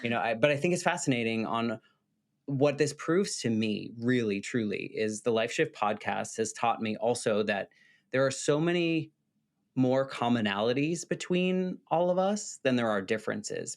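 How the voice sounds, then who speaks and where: A man from the United States hosting a podcast, talking in an earnest, rambling way with many fillers. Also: mid-range voice. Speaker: podcast host. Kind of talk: casual interview conversation.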